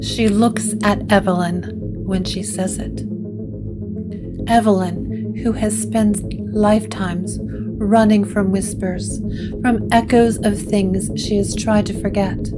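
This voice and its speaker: A woman narrating a gothic audio drama in slow, hushed, dramatic storytelling. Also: mid-range voice. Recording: guitar music under the voice.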